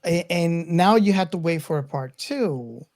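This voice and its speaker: A man co-hosting a casual film discussion, reasoning aloud in a deliberate way. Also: fairly high pitch, lively intonation, darkish tone. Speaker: podcast co-host.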